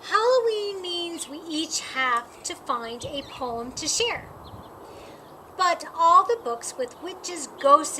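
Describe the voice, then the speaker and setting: A woman reading a children's picture book aloud in an expressive, deliberate way. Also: high voice. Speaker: storytime reader. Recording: clearly noisy, with birdsong behind. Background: birds chirping.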